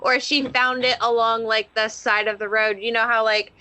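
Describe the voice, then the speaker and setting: A woman playing a tabletop roleplaying game, chatting casually with friends in an animated, informal, sweary way. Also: a little high, moderate pace, dry recording. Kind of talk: casual improvised game chat among friends.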